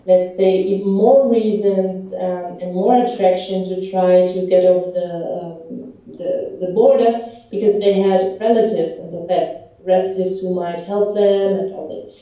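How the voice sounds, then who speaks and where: A woman who grew up in Romania speaks slowly and haltingly, filling her pauses with ums. Her voice is very dark and mellow. She sounds far from the microphone in an echoey pub room, and the sound is dull. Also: mid-range voice. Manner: hesitant, many ums and restarts. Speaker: film director. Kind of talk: interview answers.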